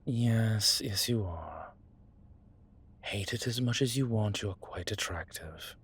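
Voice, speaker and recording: A man playing a low-key investigator in a roleplay audio drama, speaking quietly and laconically with dry teasing. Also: slow pace, slightly rough, exaggerated voice.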